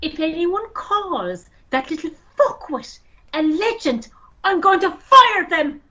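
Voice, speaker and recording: High-pitched, irate Irish horse owner, acted by a woman. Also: sing-song, slow pace, bright, forceful tone, highs cut off, full character voice. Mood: scornful, bossy. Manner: indignant, sarcastic, scornful. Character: irate, sharp-tongued woman who owns racehorses. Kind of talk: amateur radio play acting.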